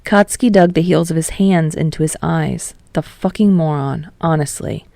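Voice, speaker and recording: A woman's voice: low voice, normal speed, vocal fry, warm tone, dry recording. A woman reading fiction aloud as an audiobook narrator, in a scripted storytelling style.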